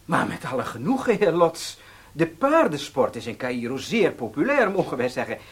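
An actor playing an obliging, eager hotel host in a radio drama, effusively polite and servile. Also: male voice, on the high side, big pitch swings, moderate pace, husky.

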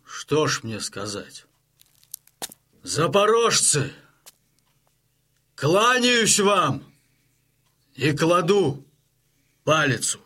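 A weary Cossack chieftain in an audio drama. The voice is husky and a little high, very slow and solemn, with halting pauses. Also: male voice, sing-song, sharp tone, exaggerated voice. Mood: resigned. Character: weary Cossack chieftain facing his men. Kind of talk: Russian audio drama acting.

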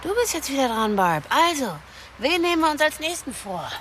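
Brash, hard-bitten streetwalker killer, noisy background. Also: female voice, high-pitched, big pitch swings, brisk. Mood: bossy, eager. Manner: coarse, bossy, taunting slang. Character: brash, short-tempered female street prostitute turned killer. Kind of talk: German radio drama acting.

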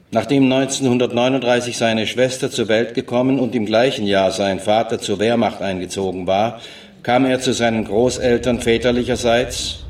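An actor playing a stern judge in a radio play, formally reading out a criminal verdict. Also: male voice, lowish voice, distant mic, close to an everyday voice. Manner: formal, official, measured. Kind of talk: formal court verdict read aloud.

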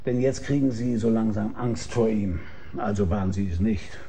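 Guy doing a cool private eye in a radio play, dark mellow voice, dry and confronting. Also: fairly low pitch, normal speed, natural voice. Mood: confident, accusing. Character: cool, shrewd male private detective, firmly in control. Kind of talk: radio drama acting.

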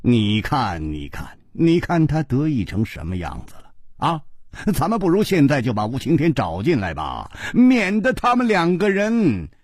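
A man's voice: mid-range voice, huge pitch swings, moderate pace, no room sound, studio quality. A man voicing a confident, easygoing hero who teases his friend with a chuckle. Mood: teasing.

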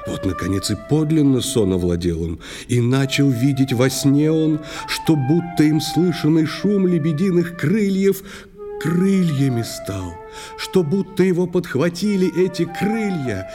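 A man narrating an audio play in verse, with a lyrical, measured, theatrical delivery. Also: on the high side, lively intonation. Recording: clear flute music behind the voice.

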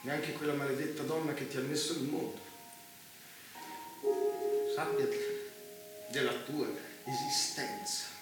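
An actor playing an embittered, unhinged man with political power, in intense stage style, halting and repeating himself with explosive force. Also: medium pitch, fairly even intonation, loud music behind the voice, natural voice. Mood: bitter, aching. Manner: halting, repeating words, erupting into shouts. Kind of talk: intense theatrical stage acting. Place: theatre stage, picked up from afar. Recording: very echoey, distant, noisy theatre recording.

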